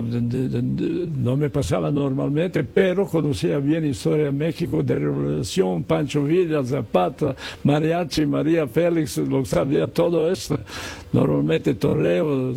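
Gravelly Serbian-accented man in his 60s. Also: a little high, warm tone. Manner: halting, many fillers and repeated words. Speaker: guest, former football coach. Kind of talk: casual interview reminiscence.

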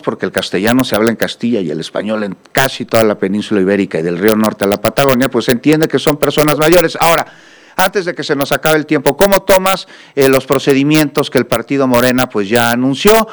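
Male news anchor, mid-pitched, pointed and pressing, interrupts to push follow-ups. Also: a little fast. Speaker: news host interviewing a guest. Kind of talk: pointed broadcast interview and commentary.

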